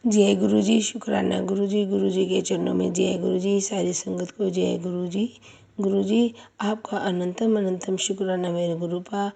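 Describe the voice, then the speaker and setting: A hoarse woman sharing a devotional testimony, thanking and pleading with her guru between chants. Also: fairly low pitch, warm tone. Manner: tearful pleading, repeated thanks.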